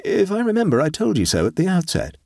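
A man voicing a mild gentleman artist in a storybook reading, precise, dry and politely stubborn. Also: sits high, huge pitch swings, fast, gravelly, no room sound, voice pushed up, full character voice. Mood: dryly defiant. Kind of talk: storybook character voice.

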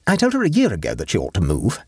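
A man's voice: fairly low pitch, wildly swooping pitch, fast talker, darkish tone, slightly rough, no echo, studio quality, pitched above the performer's own voice, heavily acted. A man reading an audiobook and voicing a severe, pompous middle-aged aunt who lectures sternly.

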